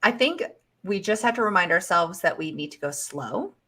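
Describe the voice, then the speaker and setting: A woman career coach giving interview advice in a measured, encouraging way. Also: on the low side, expressive, a bit bright, husky. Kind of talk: casual advice chat.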